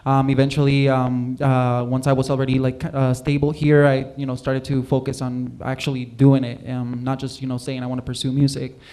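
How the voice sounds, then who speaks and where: Young man, from Puerto Rico, warm mellow tone, relaxed, rambling, filler words. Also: medium pitch, fairly quick, hardly any pauses, smooth. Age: young adult. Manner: relaxed, rambling, many ums and likes. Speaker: musical guest. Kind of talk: casual interview chat.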